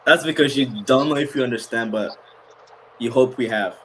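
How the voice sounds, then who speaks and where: A man's voice: mid-range voice, moderate pace, a bit bright. An agreeable man learning along, asking questions and answering in short, easy replies. His voice sits in an ordinary register with faint background noise. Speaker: student. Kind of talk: casual chat.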